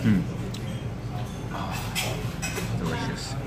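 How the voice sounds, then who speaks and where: A man's voice: very slow, loud music behind the voice. A man in his twenties chatting casually on a travel live stream, rambling with lots of fillers and talking to his viewers. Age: 20s. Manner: rambling, filler-heavy, talks to chat. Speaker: travel livestreamer. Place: indoors, air-conditioned spot while out walking.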